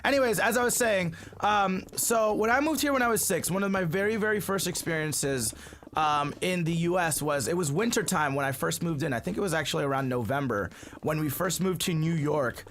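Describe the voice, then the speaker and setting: A man with a somewhat high voice, originally from the Philippines, chats loosely to his viewers while he plays. His talk wanders, full of fillers and restarts, and he keeps calling the audience "you guys.". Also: fairly quick, fairly bright tone, slight background noise. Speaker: gaming channel host. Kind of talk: casual storytelling chat while playing a game. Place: at home, family cleaning in the house.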